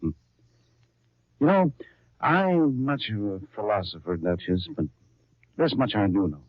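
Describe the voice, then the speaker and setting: Low-voiced, slangy fiancé, old radio. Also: male voice, unhurried, no room sound. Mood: soothing, earnest. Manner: casual, slangy, steady. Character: plain-spoken, reassuring working guy, the bride's shaggy-headed fiancé. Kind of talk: 1930s radio soap acting. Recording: dull, lo-fi 1930s radio recording.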